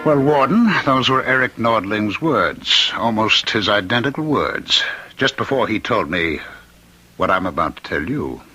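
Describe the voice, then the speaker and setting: Halting, sharp-edged haunted professor. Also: male voice, fairly low pitch, expressive, husky, exaggerated voice. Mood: urgent, haunted. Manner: hesitant, halting, theatrical. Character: skeptical parapsychology professor, later a haunted condemned prisoner. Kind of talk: old-time radio drama acting.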